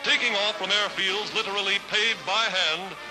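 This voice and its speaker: A man's voice: high-pitched, moderate pace, over music, rough recording. An American man narrating a 1940s wartime newsreel in a dramatic, declamatory, patriotic announcer style, on a vintage soundtrack.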